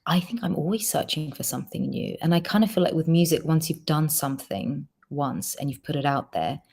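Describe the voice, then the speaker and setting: Quick-talking low-voiced woman singer. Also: animated, gravelly, darkish tone, breathy edge. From Georgia. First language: Georgian. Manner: quick, chatty, lots of ums. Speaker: singer reading fan questions. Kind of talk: casual livestream Q&A.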